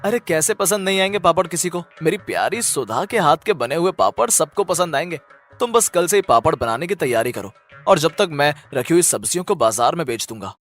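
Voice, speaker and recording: A good-humoured village farmer voiced by a man with a highish, animated voice. He is affectionate and coaxing, a cartoon story character with music behind him. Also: fairly quick. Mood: reassuring. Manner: coaxing, reassuring.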